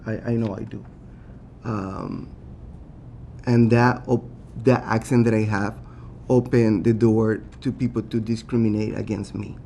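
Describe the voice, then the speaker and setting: Earnest Spanish-accented man, low gravelly voice. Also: little pitch movement, mellow, slight background noise. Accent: Latin American Spanish. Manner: earnest, halting, with many fillers and restarts. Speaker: city council candidate. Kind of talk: candidate forum answers.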